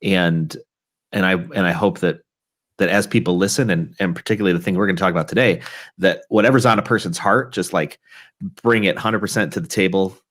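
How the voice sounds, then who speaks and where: A man's voice: low voice, steady tone, fairly quick, fairly bright tone. A man hosting a casual live-streamed talk show, chatty and rambling with frequent restarts. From Chicago area.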